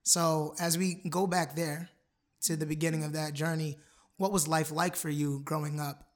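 Interviewer, reflective and unhurried; mid-range pitch. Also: little pitch movement. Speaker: interviewer, host.

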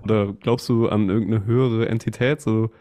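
A man hosting a podcast, chatting casually and asking questions in a quick, relaxed way. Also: fairly low pitch, no room sound. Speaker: podcast host. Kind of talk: casual interview chat.

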